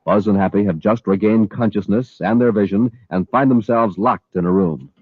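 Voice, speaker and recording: A man's voice: fairly low pitch, normal speed, no echo. A man announcing and narrating a 1950s radio program in an emphatic, promotional way. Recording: dull old radio broadcast recording.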